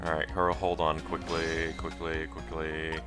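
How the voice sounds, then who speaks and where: A man's voice: a bass voice, monotone, a little slow, over music. A man giving casual video game commentary as a let's play host.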